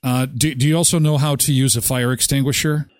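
A man's voice: mid-range voice, steady tone, brisk, slight background noise, natural voice. A man playing a polite, officious hotel clerk for a prank call, straight-faced, with hesitant phrasing.

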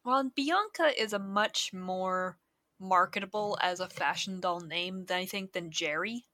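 A woman co-hosting a podcast in casual chat, measured and dryly teasing. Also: fairly low pitch, pushed and bright, no room sound. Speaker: podcast co-host.

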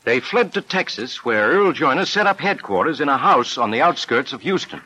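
Forceful police superintendent, old radio. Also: male voice, medium pitch, lively intonation, moderate pace, breathy edge, low-quality recording. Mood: formal, emphatic. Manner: formal narrating delivery. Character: male police superintendent formally recounting cases. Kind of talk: 1940s radio acting. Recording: vintage 1940s radio recording.

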